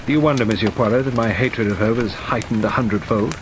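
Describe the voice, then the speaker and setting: A man's voice: fairly low pitch, quick pace, natural voice. A man voicing a bitter, proud middle-aged Englishman making a resentful confession. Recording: steady rain noise behind.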